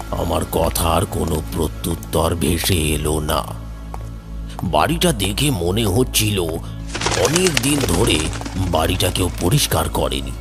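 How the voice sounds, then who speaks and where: A man narrating a first-person horror story, somber and measured. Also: low-pitched. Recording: background music.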